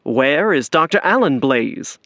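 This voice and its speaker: Theatrical old-time radio announcer, animated. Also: male voice, a little high, unhurried, dry recording, heavily acted. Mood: ominous intrigue. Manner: dramatic, grandiose delivery. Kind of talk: 1940s radio announcer acting.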